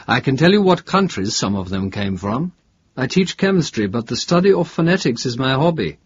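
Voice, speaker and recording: A man voicing an older, guarded male chemistry professor in an audiobook, with a halting, deliberate, emphatic delivery. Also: mid-range voice, sing-song, highs cut off, a little hiss or hum, close to an everyday voice. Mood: matter-of-fact, precise. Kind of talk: audiobook character dialogue.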